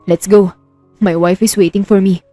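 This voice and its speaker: A woman's voice: mid-range voice, steady tone, moderate pace, dark tone, no room sound, soft background music, lightly acted. A woman playing a brooding, suspicious husband, stern and wounded, in a romance audio drama. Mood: wistful, hurt.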